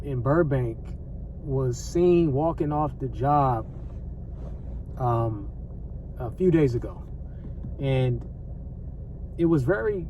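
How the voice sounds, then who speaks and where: A man's voice: medium pitch, distant mic, noisy background. An American man giving casual, off-the-cuff commentary as a vlogger, conversational and repetitive, with plenty of slang and swearing.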